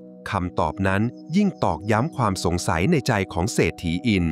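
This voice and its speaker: A man narrating a folk tale from a script in a dramatic, suspenseful, expressive way. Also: on the low side, normal speed. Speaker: storyteller. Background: music.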